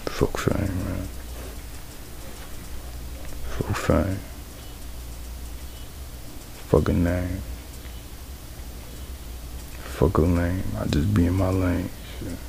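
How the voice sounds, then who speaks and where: A nineteen-year-old man with a very low, heavily gravelly voice that stays flat as he muses. He talks in loose, profane slang, sometimes drops to a hushed whisper, and has steady noise behind him. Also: extremely slow, pauses often. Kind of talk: freestyle musing monologue. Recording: noisy background ambience.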